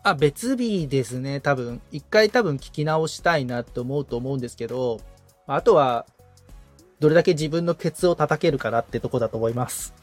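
A man's voice: medium pitch, normal speed, a little mellow, faint music behind. A man in the assistant's seat, talking casually but politely, agreeable and thoughtful as he checks back with questions. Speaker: podcast assistant being coached.

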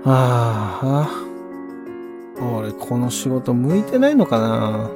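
A man's voice: mid-range voice, very animated, takes it slowly, music in the background. A man playing a hapless, flustered young hotel employee in a voice drama, protesting plaintively. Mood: dejected. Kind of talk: voice drama acting.

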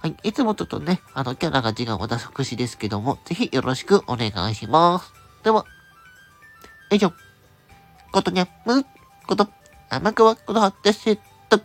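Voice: mid-range voice, big pitch swings, normal speed, pauses often. A host making a chatty, polite announcement, speaking directly to listeners in a casual monologue. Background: background music.

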